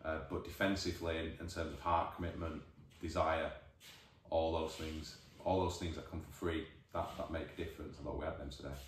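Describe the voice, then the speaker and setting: A man in his forties with a Northern English accent, a football manager giving a measured, thoughtful post-match interview, recorded by a distant camera in an empty changing room. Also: rumbling low, flat delivery, a little hiss or hum. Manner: measured, thoughtful, many restarts. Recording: echoey room, voice from far off.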